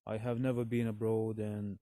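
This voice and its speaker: A young man whose first language is Russian talks to viewers in slow, halting English, sighing and searching for words, alone in a forest at night. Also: fairly low pitch, level tone, mellow, no room sound. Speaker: vlogger.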